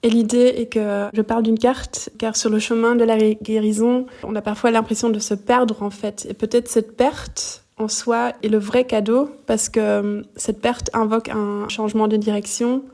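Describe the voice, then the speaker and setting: A middle-aged woman from Belgium, a native German speaker, telling her story calmly and thoughtfully in a podcast interview. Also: a little high, moderate pace. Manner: calm, thoughtful, searching for words. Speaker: guest, shiatsu practitioner.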